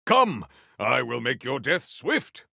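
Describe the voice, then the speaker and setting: An actor playing a proud, formal sci-fi warrior in a video game, speaking in a grandiose, deliberate and earnest way. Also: male voice, mid-range voice, big pitch swings, gravelly, sharp tone, dry recording, studio quality, exaggerated voice. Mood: grand, defiant. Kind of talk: video game character voice lines.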